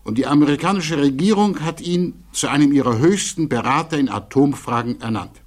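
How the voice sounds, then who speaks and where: Actor as an earnest defense lawyer guy, 1960s radio play, kinda higher voice, formal and measured, big deliberate pauses. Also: lightly acted. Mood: insistent, grave. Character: defense attorney pleading earnestly before a security hearing.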